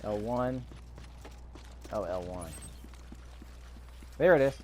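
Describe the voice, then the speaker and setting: A man's voice: lowish voice, lively intonation, slow, mellow, slight background noise. A man giving casual, offhand let's play commentary, muttering to himself as he plays. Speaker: video game player recording commentary. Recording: game sound under the voice. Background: video game ambience.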